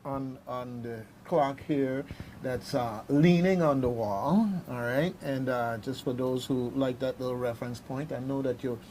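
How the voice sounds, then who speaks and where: A man hosting unscripted talk radio in a halting, rambling way, repeating words as he goes. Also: mid-range voice, a little mellow, slight background noise. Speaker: radio host.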